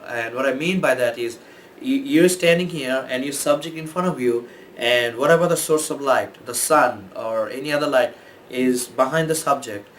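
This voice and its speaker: A man from Bangladesh, a photographer, giving casual tips in a relaxed, rambling way with many fillers and restarts. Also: mid-range voice, moderate pace, slight background noise. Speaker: photographer and host. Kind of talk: casual explainer talk.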